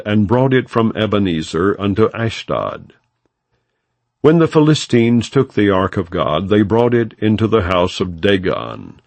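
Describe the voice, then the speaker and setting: A man narrating scripture as an audiobook, reading from a script in a measured, formal, non-dramatized way. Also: fairly low pitch, dry recording.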